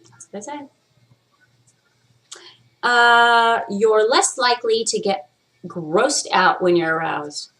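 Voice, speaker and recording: A woman's voice: a little high, slow, pauses often, fairly bright tone. A woman hosting her own vlog, chatting casually and candidly, rambling with frequent swearing and playfully trying out different voices. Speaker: vlog host. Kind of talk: casual chat to camera.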